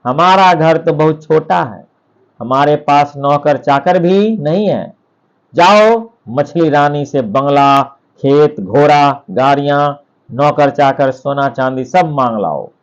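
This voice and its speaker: A man's voice: a little high, slow, dull audio. A man voicing a greedy, stubborn fisherman's wife from a storybook, complaining and insistent.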